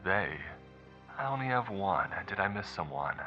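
A man voicing a taunting kidnapper in an audiobook, calm, mock-polite and menacing, with unhurried delivery. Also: sits low, highs cut off, soft background music, voice pushed up. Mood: mocking menace. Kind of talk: audiobook character dialogue.

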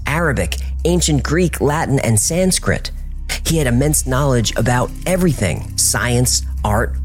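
A man telling a legend as scripted documentary narration, his voice rising and dropping sharply for drama. His pitch sits in the middle, and faint music plays behind him. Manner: dramatic storytelling with wide pitch swings.

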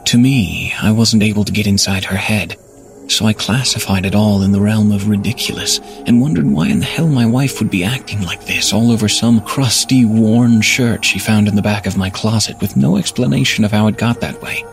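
A man's voice: on the low side, normal speed, vocal fry, soft background music. A man playing a troubled husband, narrating a horror story in a brooding, dramatic manner. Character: troubled husband and investigator telling his downfall.

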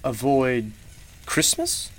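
A performer in a radio play playing a courteous, gently probing keeper of a mysterious magical shop. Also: mid-range voice, huge pitch swings, extremely slow, heavily acted. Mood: puzzled, probing. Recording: music playing behind the voice. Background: music.

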